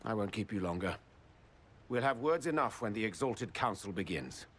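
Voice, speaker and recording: A man's voice: on the low side, expressive, normal speed, fairly bright tone, husky. A man playing a courteous but blunt lord and envoy, formal and plain-spoken, in a game cutscene. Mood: firm, frank. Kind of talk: dramatic video game cutscene acting.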